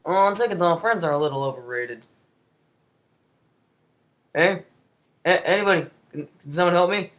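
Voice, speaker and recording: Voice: medium pitch, expressive, normal speed, pauses often, a bit bright, close to an everyday voice. An actor voicing a friendly, lonely cartoon keeper of the underworld in a casual, chatty everyday voice. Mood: forlorn.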